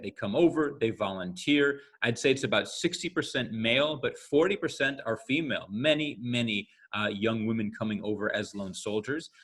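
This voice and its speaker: A man in his forties from the United States giving a candid talk to an audience, speaking conversationally with frequent pauses. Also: medium pitch, fairly bright tone. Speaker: guest speaker.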